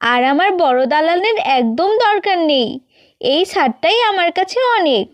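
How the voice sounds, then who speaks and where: A woman voicing a gentle, earnest young village wife in a cartoon. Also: extremely high pitch, lively intonation, moderate pace, no room sound. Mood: contented, affectionate. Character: sweet, contented young village wife, devoted older sister. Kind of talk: scripted cartoon dubbing.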